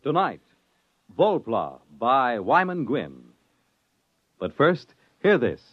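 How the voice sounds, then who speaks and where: A man announcing a science fiction radio show in a portentous, deliberate, theatrical 1950s style. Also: mid-range voice, very animated. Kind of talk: 1950s radio drama announcing, dramatic scripted narration. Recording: muffled vintage radio recording, music behind.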